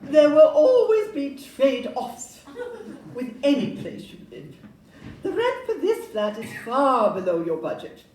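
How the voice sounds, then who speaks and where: A woman acting a polite Victorian estate agent in a stage audio play, courteous, deliberate and salesmanlike. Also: sits high, big pitch swings, a little mellow. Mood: apologetic selling. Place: live indoor performance in a club room. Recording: roomy distant live recording, background noise.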